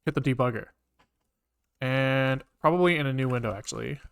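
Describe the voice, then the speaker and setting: A man with a mid-pitched voice talks to himself while coding on a livestream. He mutters bits of code in fragments with casual swearing, recorded dry and close to the mic. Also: fairly quick, a bit bright, clean tone, no room sound. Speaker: programming livestreamer.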